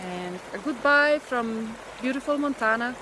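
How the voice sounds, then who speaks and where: Hungarian-accented lady vlogging by a loud river, bit high voice, lots of ums and pauses. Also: expressive. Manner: hesitant, with many pauses and fillers. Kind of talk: casual vlog to camera. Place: outdoors by a river in the forest. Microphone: handheld camera or phone at arm's length. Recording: outdoor recording with rushing water noise. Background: rushing river water.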